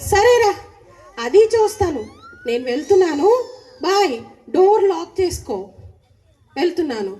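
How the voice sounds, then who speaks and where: Woman doing a very high-pitched bossy big-sister puppet. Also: sing-song, a little slow, long pauses, dark tone, a faint crowd, full character voice. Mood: resigned, warning. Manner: coaxing, scolding, insistent. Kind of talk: children's puppet show dialogue.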